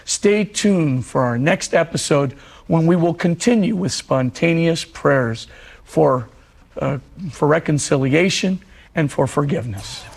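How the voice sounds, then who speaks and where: A middle-aged man, a priest, giving a televised religious talk in an earnest storytelling way; his voice is husky and hoarse because he is losing it. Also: fairly high pitch, expressive, unhurried. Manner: earnest storytelling preacher, repeats phrases for emphasis.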